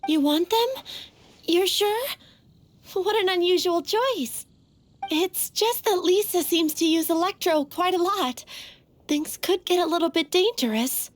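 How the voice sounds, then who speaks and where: A woman's voice: very high voice, measured pace. A woman playing a polite, chatty young flower seller in a video game, helpful and slightly hesitant. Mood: helpful, unsure. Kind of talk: video game character acting.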